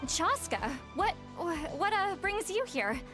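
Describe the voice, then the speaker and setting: A woman playing a cagey, sharp-witted old granny healer in a video game, speaking in a wry, blunt way. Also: very high voice, very animated, takes it slowly, music in the background, exaggerated voice. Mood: wary.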